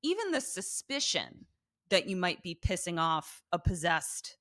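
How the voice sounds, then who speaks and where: A woman with a somewhat low, bright and forceful voice chats as a podcast co-host. She retells a TV episode casually, with slang and swearing, in animated storytelling. The sound is close and dry. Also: measured pace, no room sound. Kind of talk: casual chat, TV episode recap.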